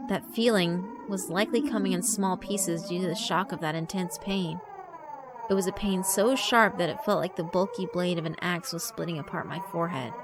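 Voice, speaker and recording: A woman's voice: lowish voice, steady tone, normal speed, noisy background. A woman delivering dramatic scripted narration as the narrator of a horror visual novel story. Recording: prominent music behind. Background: background music.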